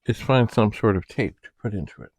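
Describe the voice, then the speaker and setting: A man's voice: fairly low pitch, a little fast, darkish tone, no echo. A man chatting casually as a video host, rambling and thinking aloud as he shows an item. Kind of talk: casual unboxing chat.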